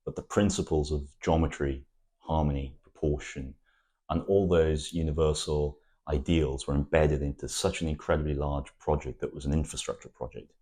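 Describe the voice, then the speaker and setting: A man from Wales whose first language is Welsh, an architect giving thoughtful, poetic interview answers with frequent pauses and restarts. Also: very deep voice, fairly even intonation, dark tone, slightly rough.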